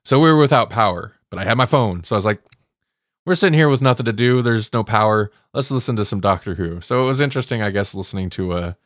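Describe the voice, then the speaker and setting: A man's voice: lowish voice, expressive, fast, fairly bright tone, dry recording, clean studio sound. A man co-hosting a podcast, talking through stories in an informal, conversational way as if thinking aloud. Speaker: podcast co-host. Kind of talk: casual discussion and recap of audio dramas.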